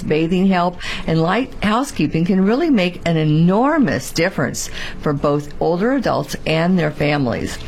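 Woman co-host, lowish voice. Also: slight background noise. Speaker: radio show co-host. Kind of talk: prepared talk show presentation.

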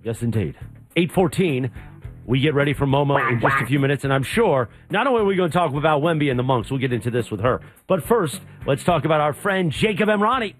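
A man anchoring a morning radio show, giving time checks and brisk segment transitions. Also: on the high side, animated, faint music behind.

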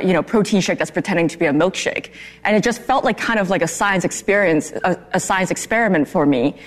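A young woman from Singapore, a company founder, chatting candidly as a guest in a TV news interview. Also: fairly low pitch. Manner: chatty and candid, laughs easily. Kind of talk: casual morning TV interview.

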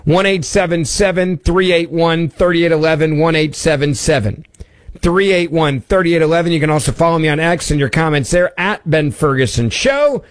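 A man's voice: a little high, normal speed, no room sound. A man hosting a talk radio show, talking in a sarcastic, conversational way. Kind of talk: opinionated talk radio monologue. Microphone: studio mic.

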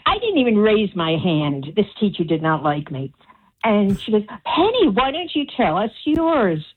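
A woman's voice: medium pitch, sing-song, moderate pace, noisy background. A woman calling in to a radio show, telling a story in a chatty way and acting out the quoted lines. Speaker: caller. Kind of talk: phone-in storytelling. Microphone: telephone. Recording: tinny phone line.

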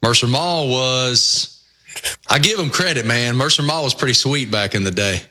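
A man's voice: medium pitch, normal speed. A man with a Southwest Virginia accent, hosting a casual sports talk show and telling folksy stories. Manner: storytelling, folksy and animated. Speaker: host.

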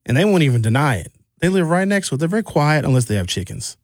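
A middle-aged American man hosting a radio talk show in an informal, opinionated storytelling style, colloquial and animated, on a close studio mic. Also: a little high, fast talker, dry recording. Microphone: close studio microphone.

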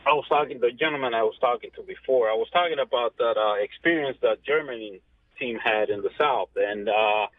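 A man's voice: medium pitch, a little fast, no room sound. A man in his fifties, born in Cuba, calling into a radio talk show, friendly and rambling with fillers. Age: 50s. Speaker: caller. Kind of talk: phone-in call to a radio show. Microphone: telephone. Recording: tinny phone line.

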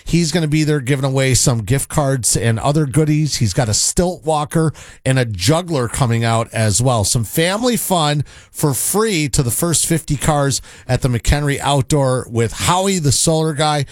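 Male announcer, upbeat ad read, slightly high voice, dry close sound. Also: animated, normal speed, no room sound. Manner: upbeat, pitchy sales delivery. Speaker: radio announcer reading an ad. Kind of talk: read commercial.

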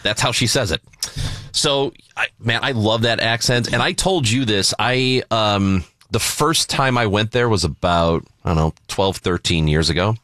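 A man's voice: fairly low pitch, unhurried, a bit bright, dry recording. A man co-hosting a talk radio show, chatting casually into a close studio microphone. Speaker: radio co-host. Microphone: close studio broadcast microphone.

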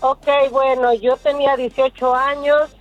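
A Mexican woman speaks as a radio caller in a fairly high voice. She answers casually and conversationally. The phone line is narrow, with faint music behind. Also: flat delivery, measured pace, no room sound.

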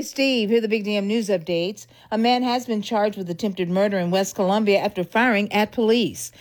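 A woman reading local news updates on radio, in a brisk, even way. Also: mid-range voice. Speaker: news reader.

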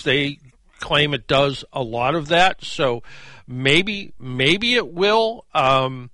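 A man's voice: fairly high pitch, pushed and bright, no room sound. A man hosting a call-in radio show about cars, talking in a loose conversational way with fillers and restarts. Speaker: radio car show host. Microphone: studio microphone.

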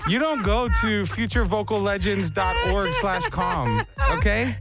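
A man's voice: sits high, sing-song, a little slow. A man hosting a morning radio show, joking and self-mocking in casual banter.

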